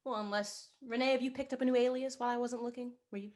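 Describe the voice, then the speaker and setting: Woman playing a traveling musician, breezy, polite, fairly high voice. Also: steady tone, darkish tone, lightly acted. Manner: breezy, polite, quick-witted.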